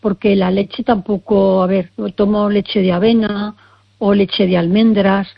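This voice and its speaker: A woman's voice: medium pitch, little pitch movement, measured pace, gravelly, dull audio. An older woman with a persistent cough, hesitantly explaining her symptoms and often searching for words. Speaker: caller seeking health advice. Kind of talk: casual consultation.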